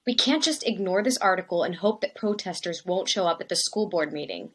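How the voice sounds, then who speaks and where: An actress playing a concerned teacher in an audio drama, speaking in an urgent, businesslike way. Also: female voice, lowish voice, expressive, a little fast, fairly bright tone. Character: concerned, urgent female teacher opening a staff meeting.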